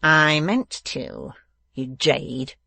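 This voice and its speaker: A man voicing a malicious male dwarf villain in a storybook, taunting and domineering, with slow emphatic menace. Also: on the high side, wildly swooping pitch, gravelly, pushed and bright, no room sound, full character voice. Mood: gleeful malice. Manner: menacing, emphatic, drawn-out delivery.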